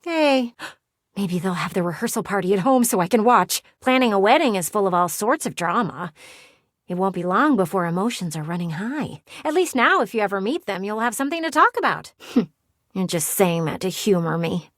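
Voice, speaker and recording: A nosy, dramatic female house window from a video game, acted by a woman. She shares gossip in a conspiratorial, sassy tone, with lively swings of pitch. The sound is dry and close. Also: moderate pace, no room sound, lightly acted. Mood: scheming, excited. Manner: conspiratorial, theatrical storytelling with teasing asides.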